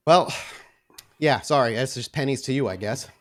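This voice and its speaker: A man's voice: medium pitch, moderate pace, close to an everyday voice. Man acting out a nervous small-time vampire thief. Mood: bargaining. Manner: slangy, rambling, pleading, repeats himself.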